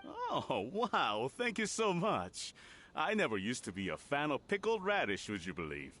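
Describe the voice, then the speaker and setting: A man's voice: on the high side, huge pitch swings, measured pace, pushed and bright, full character voice. An actor playing an easygoing, caring village family man in a video game. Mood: delighted. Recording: music behind the voice.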